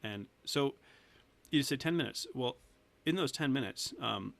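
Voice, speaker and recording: Gravelly man in his thirties. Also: medium pitch, expressive, unhurried, fairly bright tone. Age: 30s. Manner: earnest, laughs often, restarts sentences. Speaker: weight loss coach, guest. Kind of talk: casual interview talk.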